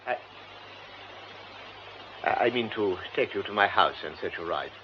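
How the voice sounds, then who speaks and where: An actor playing a formal, insistent gentleman who speaks with hesitant stammers. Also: sits low, animated, normal speed. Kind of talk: radio drama acting. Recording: dull old radio recording with hiss.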